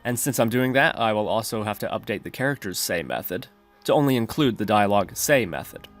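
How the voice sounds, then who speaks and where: A man's voice: fairly low pitch, expressive, a little fast, faint music behind. A man narrating a programming tutorial in a methodical, instructional way.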